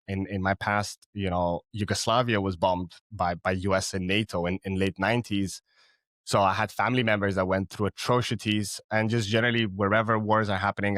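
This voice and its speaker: A man's voice: fairly low pitch, a bit bright, no echo. A man in his thirties from Cyprus, a podcast guest talking casually with frequent restarts and fillers. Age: 30s. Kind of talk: casual interview chat.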